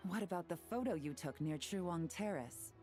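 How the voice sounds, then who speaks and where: A woman playing a composed, no-nonsense investigator in a video game, measured and authoritative. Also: fairly low pitch, lively intonation, a little mellow, soft background music, close to an everyday voice. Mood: accusing. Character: composed, no-nonsense female investigator, firm and wary.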